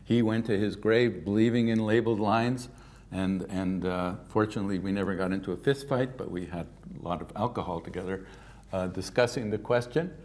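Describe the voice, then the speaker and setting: An older man from Canada giving a keynote science lecture, lively and informal, with offhand asides. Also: fairly low pitch, fairly quick. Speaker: keynote speaker.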